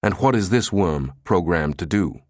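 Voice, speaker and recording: A man's voice: deep voice, moderate pace, no echo. Man as a cool, stern agency director, dry sound. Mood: stern, questioning. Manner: even, controlled, authoritative. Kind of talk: audiobook character dialogue.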